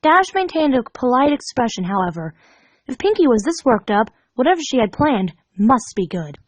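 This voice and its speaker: A woman narrates a story in a high, very animated voice. Her reading is dramatic and expressive, moving up and down freely, with a close, dry sound. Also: moderate pace, no echo. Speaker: fan fiction reader.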